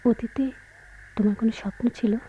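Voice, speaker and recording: Radio drama wife, actress, proud, suspicious, emotional, higher-pitched, slightly muffled old recording. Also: female voice, steady tone, fairly quick, warm tone, slight background noise, natural voice. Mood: probing, hurt. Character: hurt, suspicious young wife, reproachful and proud. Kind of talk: Bengali radio play acting.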